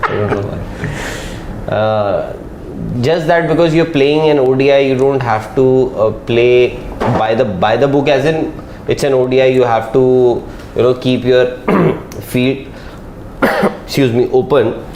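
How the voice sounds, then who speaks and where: A man thinks aloud on a cricket panel, stopping and restarting and hedging his points. His voice sits at an ordinary middle pitch. The studio sounds roomy and echoey, with faint noise behind him. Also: a little mellow. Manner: rambling, halting, hedges his points. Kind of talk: live studio panel discussion.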